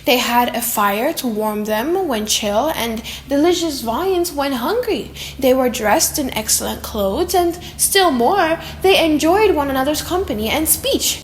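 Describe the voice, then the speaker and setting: A woman voicing a lonely, tender monster in a dramatic, slightly silly audiobook reading, theatrical and emotive with drawn-out words. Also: sits high, sing-song, slight background noise. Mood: wondering, emotional. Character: lonely, tender, wretched monster, eager to learn. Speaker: audiobook narrator.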